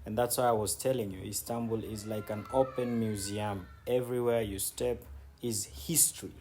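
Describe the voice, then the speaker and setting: A man's voice: fairly low pitch, fairly even intonation, moderate pace, a little mellow. A man giving casual, conversational travel commentary as he guides viewers around, often repeating words for emphasis. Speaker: travel vlogger and city guide. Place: outdoors on city streets. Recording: background noise, outdoor street ambience.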